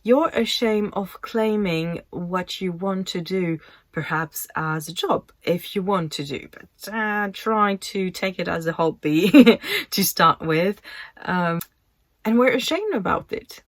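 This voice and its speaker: A woman's voice: on the low side, lively intonation, no room sound, studio quality. A woman in her thirties with a French accent, talking casually to camera in English about sharing her work online, thoughtful and given to restarts. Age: 30s. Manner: slow, reflective, trails off and restarts. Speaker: vlogger.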